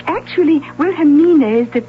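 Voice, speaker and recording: A woman's voice: high-pitched, brisk, a little hiss or hum, natural voice. A woman playing a prim, helpful librarian in an old radio drama, polite and precise with a slight flutter. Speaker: actress. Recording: thin, phone-like old radio recording.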